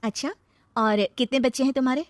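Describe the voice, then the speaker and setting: A woman's voice: high-pitched, steady tone, fairly quick, dry recording, studio quality, voice pushed up, natural voice. A woman voicing an anguished, guilt-ridden young wife and mother in a plaintive, emotional story delivery. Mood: smitten.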